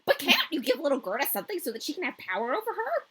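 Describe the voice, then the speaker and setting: Storybook reindeer, done by a woman, earnest, pleading, lifted pitch, forceful. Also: wildly swooping pitch, rapid-fire, full character voice.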